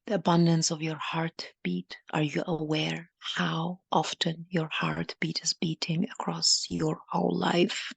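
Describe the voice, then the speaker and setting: A woman leading a channeled guided meditation, speaking solemnly in halting, deliberate, repetitive phrases that often soften toward a breathy whisper. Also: deep voice, little pitch movement, no echo.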